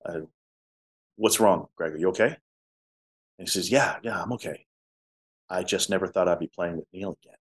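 A man with a low voice, a musician telling stories in a casual interview. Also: normal speed, pauses often. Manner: talkative storyteller, drops to soft tones. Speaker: musician guest.

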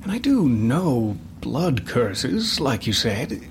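A man's voice: medium pitch, sing-song, a little hiss or hum. A man playing an uneasy but helpful companion in a fantasy audio drama, hesitant in his delivery. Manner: hesitant, unhurried. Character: uneasy, helpful male fantasy companion who knows curses.

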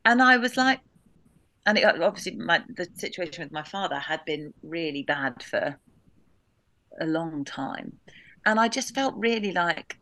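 A woman in her thirties recalling family loss in an interview, halting with many restarts, now and then laughing through it. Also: on the low side, animated, sharp tone, no echo. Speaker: podcast guest. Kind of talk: candid emotional interview.

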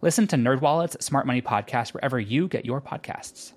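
A man delivers a scripted podcast advertisement. His voice sits at an average male pitch, and he reads as an announcer making a pitch to listeners. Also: brisk, slight background noise. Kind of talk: scripted promotional read.

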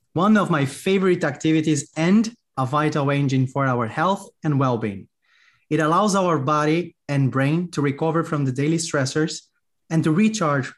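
A man with a Brazilian accent hosting a podcast, reading a friendly, lightly joking intro and then interviewing a guest. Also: a little high. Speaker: podcast host, journalist.

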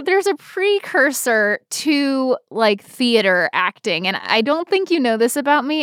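A woman's voice: fairly high pitch, a bit bright, clean tone, no echo, studio quality. A woman co-hosting a podcast, telling personal stories in an informal, rambling, self-deprecating way. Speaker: podcast co-host. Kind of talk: casual chat, personal storytelling.

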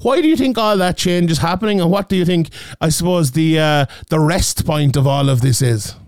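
A man from Ireland with a Limerick accent, hosting a casual podcast chat, talking fast with stumbling restarts. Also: fairly high pitch, a little mellow, dry recording, clean studio sound. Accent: Limerick Irish. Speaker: podcast host.

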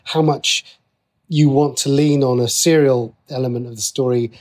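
A man with a mid-pitched voice talks as a guest in an interview. He explains his craft conversationally, in a halting manner, circling back to rephrase his thoughts. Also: fairly even intonation, a little mellow. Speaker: interview guest, TV and radio writer.

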